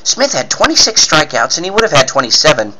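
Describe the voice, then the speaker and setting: A man's voice: fairly high pitch, sing-song, fast talker, rough recording. Guy doing eager kid reporter from an old radio serial, gushing, voice jumps all over, crowd behind. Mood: puzzled. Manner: gushing, wide-eyed exclamations. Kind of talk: 1940s radio serial acting.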